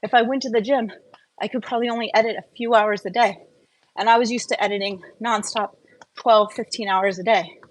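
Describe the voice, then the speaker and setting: A woman's voice: on the high side, fairly even intonation, brisk, low-quality recording. A woman chatting casually and candidly in a personal vlog, opinionated and prone to rambling off on tangents. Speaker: wellness vlogger.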